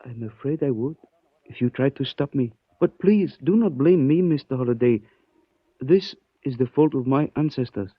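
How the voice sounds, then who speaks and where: An actor in a 1940s radio detective drama playing a polite, menacing Chinese man out to avenge his father, with formal, measured phrasing. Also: mid-range voice, lively intonation, no room sound, close to an everyday voice. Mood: calm menace. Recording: muffled 1940s radio recording.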